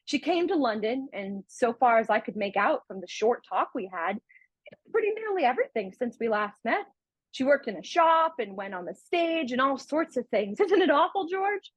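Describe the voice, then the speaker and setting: A woman acting a breezy young fiancée in a radio comedy. Her voice is high and very animated, recorded dry and close, with an earnest, chatty manner. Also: normal speed, slightly rough, no echo, heavily acted, rough recording. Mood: chatty, admiring. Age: young adult. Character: breezy, affectionate, teasing young engaged woman. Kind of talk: comic radio play acting.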